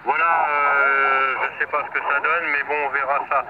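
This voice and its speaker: A man giving halting, hesitant reports as an amateur radio operator in a casual exchange over shortwave. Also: medium pitch, flat delivery. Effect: shortwave radio transmission. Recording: dull, very noisy shortwave radio.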